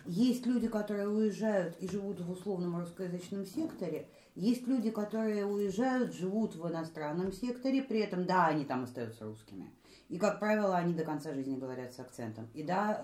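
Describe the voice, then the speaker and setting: Woman, brisk explainer, dark tone, roomy audio. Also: on the low side, animated. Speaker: discussion guest. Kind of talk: casual group discussion. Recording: echoey room.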